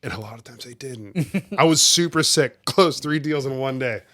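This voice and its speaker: A young man co-hosting a podcast while sick, with a cough and a deep, groggy voice, talking in a laid-back way. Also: animated, normal speed, a bit bright, dry recording, studio quality. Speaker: podcast co-host. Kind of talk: casual chat.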